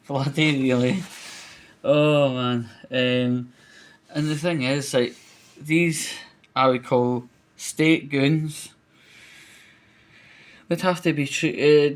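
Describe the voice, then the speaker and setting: A man giving a casual, opinionated monologue as a vlogger, rambling and colloquial, with some mild swearing. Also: medium pitch.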